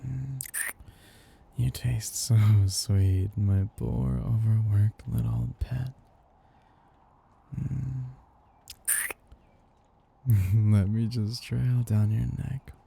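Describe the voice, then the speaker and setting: A man voicing a possessive, tender vampire noble in an intimate ASMR roleplay, coaxing slowly and dotingly in a husky, gravelly voice. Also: mid-range voice, steady tone, slight background noise, exaggerated voice. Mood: hungry, teasing. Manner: slow, coaxing and doting, with soft hums.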